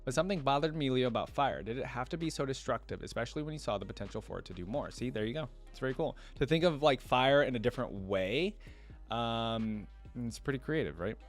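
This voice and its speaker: A man hosting a reaction video, casually commenting, reading aloud and thinking out loud as he explains things. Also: mid-range voice, animated, fast, faint music behind.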